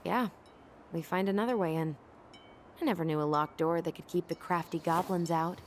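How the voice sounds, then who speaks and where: A woman's voice: fairly low pitch, normal speed, a little hiss or hum, lightly acted. An actress playing a guarded, practical young American woman with dry wit in a video game, delivered naturally and with understatement. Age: young adult. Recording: game cutscene with background music. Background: music.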